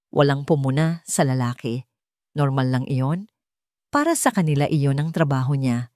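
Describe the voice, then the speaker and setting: Audio story narrator: Filipino woman, deep-voiced and warm, scripted dramatic delivery. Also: no echo, clean studio sound.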